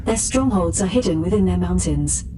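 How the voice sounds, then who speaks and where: A woman's voice: fairly low pitch, expressive, background noise, natural voice. A woman voicing an impassive spaceship computer assistant that recites information formally in a sci-fi audio drama. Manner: formal, impersonal, measured recitation. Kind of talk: scripted sci-fi status report.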